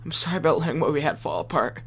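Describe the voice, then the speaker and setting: Man acting anguished young man, wide-swinging bright voice. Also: fairly high pitch, expressive, racing, a little hiss or hum. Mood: remorseful. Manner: urgent, pleading, emotional delivery. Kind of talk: audio drama acting.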